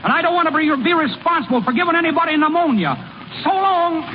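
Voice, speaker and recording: A man's voice: very high-pitched, lively intonation. An actor playing a plainspoken small-town American ballplayer and delivery man in old-time radio style, with a folksy, slangy way of talking. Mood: excited, cocky. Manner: folksy, slangy, halting when shy. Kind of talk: 1930s-40s American radio acting. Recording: muffled, noisy old radio broadcast.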